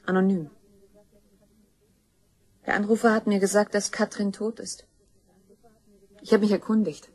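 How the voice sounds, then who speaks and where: A woman's voice: medium pitch, steady tone, a little slow, long pauses, a little mellow, husky. An actress playing a determined young woman in a crime radio play, accusing and insistent. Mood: grave, insistent. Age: young adult. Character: grim, determined young woman seeking justice for her murdered mother.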